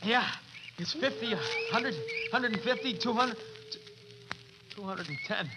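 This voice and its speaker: A menacing young male criminal, barks insistently with desperate force. The style is 1940s radio melodrama, heard through a clearly noisy old recording. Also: sits high, measured pace. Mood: agitated, insistent. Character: desperate, bullying young male murderer and thief. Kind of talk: 1940s radio drama acting.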